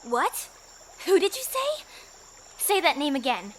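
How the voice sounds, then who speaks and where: A woman's voice: sits high, huge pitch swings, measured pace, fairly bright tone, a little hiss or hum, full character voice. A woman playing a haughty, dangerous female bandit in an audio drama, taunting and theatrical. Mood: agitated.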